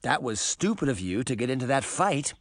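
A man's voice: mid-range voice, big pitch swings, a little fast, no echo, studio quality. A man voicing a girl in a storybook, a protective and exasperated older sister who worries and scolds. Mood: scolding.

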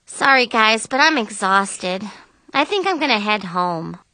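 A woman's voice: mid-range voice, animated, measured pace, sharp tone, no echo. A woman voicing an earnest, pleading, self-blaming teenage girl in an audiobook. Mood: tired, downcast. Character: apologetic, emotional teenage girl narrator-heroine, self-blaming.

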